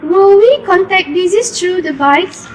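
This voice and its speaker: A woman's voice: very high-pitched, expressive, measured pace, soft background music. A woman voicing a curious, polite little schoolgirl in an amateur puppet show, reciting her questions carefully.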